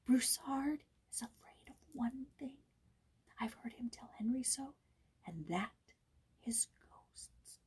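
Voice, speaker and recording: A small, mistreated boy, acted out by a woman narrator in a storybook reading. The voice is raised high, timid and tremulous, with a sorrowful, fearful edge. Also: lively intonation, slow pace, husky, heavily acted. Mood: hushed, secretive. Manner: tremulous, sometimes dropping to a hushed whisper.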